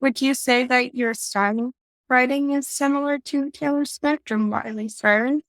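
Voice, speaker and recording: A woman's voice: high voice, fairly even intonation, normal speed, fairly bright tone, dry recording. A woman hosting an interview podcast, giving introductions and asking prepared questions.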